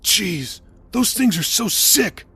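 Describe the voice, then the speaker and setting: A man's voice: high-pitched, lively intonation, slow pace, creaky, bright, forceful tone, husky, pitched above the performer's own voice, exaggerated voice. Man acting an exasperated, anxious young hero's thoughts.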